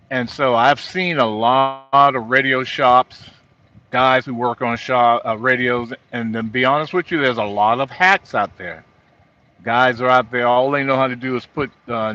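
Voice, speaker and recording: Middle-aged man, sharp forceful voice, plainspoken rambling explainer, casual trucker vlog, dull low-fidelity vehicle recording. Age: middle-aged or older. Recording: dull lo-fi sound inside vehicle.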